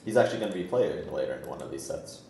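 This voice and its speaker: A man's voice: rumbling low, animated, very fast, gravelly, warm tone, a little hiss or hum. A man hosting and commentating an esports match in a casual, laid-back, chatty way. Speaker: esports tournament host and commentator. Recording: roomy echo, music behind. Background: background music.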